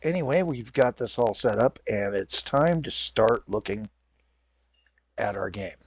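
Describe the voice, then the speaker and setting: A man's voice: lowish voice, expressive, a little slow, creaky, slightly rough, dry recording. A man hosting a casual game commentary, stuffed up from a head cold with a raw throat, rambling and correcting himself as he plays. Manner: rambling, self-correcting, apologetic. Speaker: gaming channel host. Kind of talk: casual let's-play commentary.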